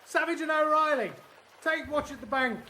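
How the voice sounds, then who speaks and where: An actor, a man, playing a brisk, commanding army sergeant in a radio drama, calling out to his men. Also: very high-pitched, pushed and bright, exaggerated voice.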